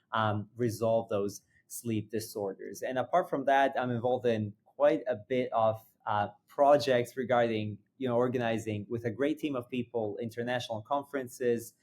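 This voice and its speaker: A man's voice: mid-range voice, a little mellow. A young man from Croatia, a physician and sleep researcher, answering interview questions in an explanatory way, joking and laughing easily.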